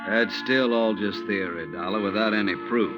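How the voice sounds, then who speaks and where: An actor playing a skeptical, folksy police lieutenant with a drawl, in 1950s radio drama style. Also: male voice, on the low side, normal speed, over music, lightly acted. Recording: muffled old radio broadcast with noise.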